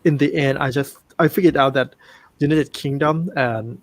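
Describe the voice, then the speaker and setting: A Thai-accented man hosts a relaxed conversation in English, often pausing and restarting as he tells a story. His voice sits at a middle pitch and sounds dry and close. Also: no echo. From Thailand. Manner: hesitant, many fillers and restarts. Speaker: host. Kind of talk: casual interview chat.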